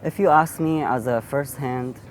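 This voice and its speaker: A man in his thirties from Iraq, an Assyrian speaker, telling his own story in an interview in a halting way, with frequent restarts. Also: mid-range voice. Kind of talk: personal storytelling.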